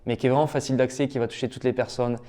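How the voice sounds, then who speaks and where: A young man, a pro athlete answering interview questions in an enthusiastic, talkative way, often pausing on "euh". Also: mid-range voice, little pitch movement, mellow. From Toulouse, France. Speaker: professional padel player, guest.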